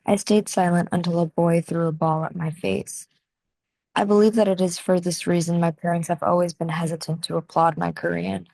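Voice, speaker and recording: Young american woman, lowish voice, slow careful essay voice-over, dry close mic. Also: little pitch movement, vocal fry, no echo, low-quality recording. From United States. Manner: measured, soft-spoken reading with deliberate pauses. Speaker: video essay narrator. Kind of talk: scripted reflective narration.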